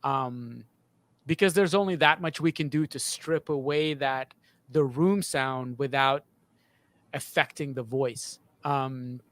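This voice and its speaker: A man in his thirties with a mid-range voice explains technical matters casually in an interview. His phrasing is halting and informal, and he speaks into a close boom-arm microphone in a treated home studio. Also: fairly bright tone, dry recording. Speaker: sound designer. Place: home studio with acoustic panels.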